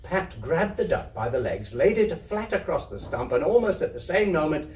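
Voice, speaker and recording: Male narrator for literary fiction, dramatic and highly animated, mid-pitched, recorded at a distance. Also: moderate pace. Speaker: story reader, theatre troupe member. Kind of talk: expressive literary narration to an audience. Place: indoor community venue. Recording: echoey room, distant microphone.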